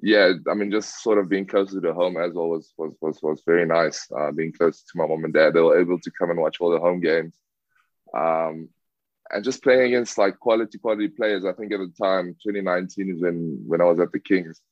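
A man's voice: deep voice, fairly even intonation, a little fast, dry recording. A young man from South Africa, a rugby player answering interview questions casually, with many fillers and restarts. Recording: dull lo-fi dry sound.

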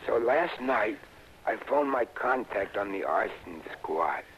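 An actor with an American accent playing a halting, eager, streetwise informant with a husky voice in a 1960s radio drama. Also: male voice, lowish voice, big pitch swings, fairly bright tone, slight background noise, exaggerated voice. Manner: hesitant, rambling, colloquial streetwise speech. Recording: muffled old radio broadcast recording.

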